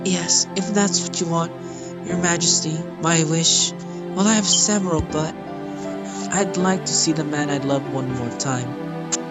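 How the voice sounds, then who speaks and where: A man's voice: on the high side, a little slow, natural voice. Man playing a proud, stately queen over music. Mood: wistful. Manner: formal, stately. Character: proud, vain queen, former female pharaoh. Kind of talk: acted fantasy game cutscene reading. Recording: music behind the voice.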